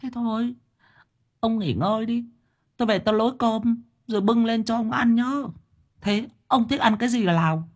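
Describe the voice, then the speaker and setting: A man voicing a chattering older village wife, speaking in a high, whining voice. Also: moderate pace. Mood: fretful. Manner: wailing, rambling, high-pitched whine.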